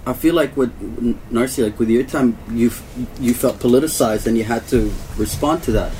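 A man co-host rambles through his point with many "like"s. His voice sits at a middling pitch and sounds distant from the microphone. A faint ambience hums behind him. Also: a little fast, a little mellow, slight background noise. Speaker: podcast co-host. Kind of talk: casual podcast conversation. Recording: voice caught from a distance.

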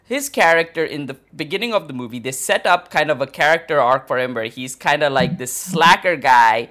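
A man co-hosting a podcast, arguing his opinions casually in a halting, mock-serious, contrarian way. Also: fairly high pitch, lively intonation, bright, forceful tone. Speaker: podcast co-host.

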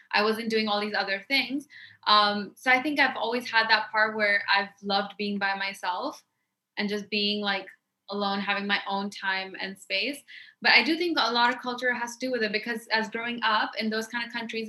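A woman's voice: mid-range voice, bright, forceful tone. A young woman from Pakistan, a podcast guest, chatting casually and filling her speech with "like". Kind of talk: casual interview chat.

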